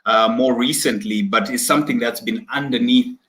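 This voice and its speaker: A man's voice: medium pitch, moderate pace, a bit bright. A man hosting an online executive interview, upbeat and chatty, with many fillers and restarts. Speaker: host. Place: at home, video call. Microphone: video call.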